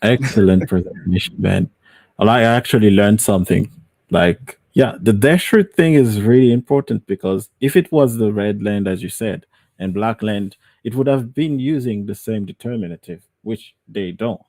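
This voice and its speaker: A man's voice: on the low side, normal speed, darkish tone, dry recording. A man hosting a live stream, chatting casually and responding with enthusiastic agreement. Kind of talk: casual chat.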